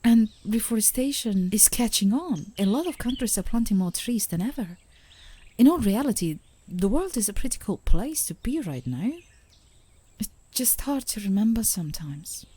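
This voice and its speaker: A woman playing a tender, playful, adoring girlfriend in an intimate ASMR romantic roleplay, speaking softly and gently, often in a whisper. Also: medium pitch. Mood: upbeat, reassuring. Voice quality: soft, breathy, often whispering. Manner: gentle, affectionate, unhurried, giggly. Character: tender, playful, adoring girlfriend comforting her partner outdoors.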